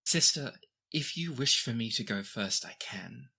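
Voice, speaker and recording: A young teenage princess played by a game master speaks in a bright, clear-cut tone. The phrasing is formal and stately, gentle yet guarded, as in a fantasy tabletop game. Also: medium pitch, expressive, unhurried, exaggerated voice. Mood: polite, gentle.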